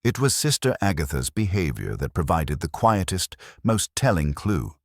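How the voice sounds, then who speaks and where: A man narrating a scripted mystery story in a measured, formal storytelling manner. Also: low-pitched, very animated, no room sound, clean studio sound. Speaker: audiobook narrator.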